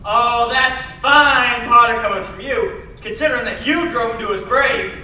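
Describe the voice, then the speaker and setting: A man playing an excitable, blustery, exclamatory older man in his late fifties in an old-fashioned radio play. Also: very high-pitched, moderate pace, exaggerated voice. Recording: echoey hall, distant mic, faint noise.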